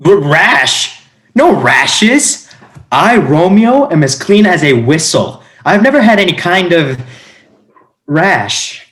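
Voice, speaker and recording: A man's voice: fairly high pitch, very animated, slow, fairly bright tone, heavily acted. A man playing a vain, dim, whiny young nobleman who stammers and boasts, in a comic stage play reading. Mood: defensive.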